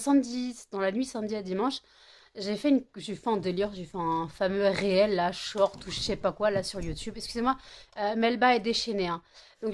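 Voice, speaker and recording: A woman, chatting casually in a home vlog, tired and a bit stuffy with a cold, rambling with many fillers and self-corrections. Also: mid-range voice. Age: 30s. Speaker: vlogger.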